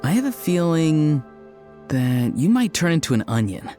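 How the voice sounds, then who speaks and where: Deadpan, quiet young man. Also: a little high, moderate pace, faint music behind. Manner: flat, deadpan delivery. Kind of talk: video game voice acting.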